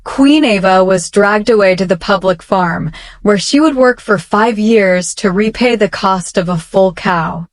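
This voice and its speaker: Female storyteller for children's bedtime tales, slow and deliberate, medium pitch, very dry close recording. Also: no room sound.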